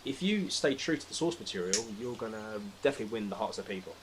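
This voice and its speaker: British guy with a lowish voice ranting about stuff on a podcast, sweary, bit of noise behind him. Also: animated, quick pace. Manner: emphatic, sweary, exasperated ranting. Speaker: podcast speaker. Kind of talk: casual opinionated film discussion.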